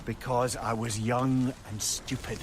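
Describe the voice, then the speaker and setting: A man's voice: medium pitch, little pitch movement, a little slow, natural voice. A man with a Scottish accent playing a learned, talkative older advisor in a video game, wordy and wry with a note of remorse. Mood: remorseful, confessional. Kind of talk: cinematic video game acting. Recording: clear background ambient noise.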